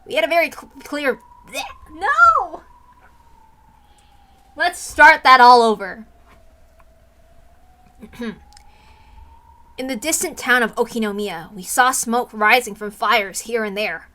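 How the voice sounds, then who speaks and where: A woman's voice: a little high, very animated, takes it slowly, pauses often, pushed and bright. A woman streamer reading a story aloud on a livestream, slipping into casual asides.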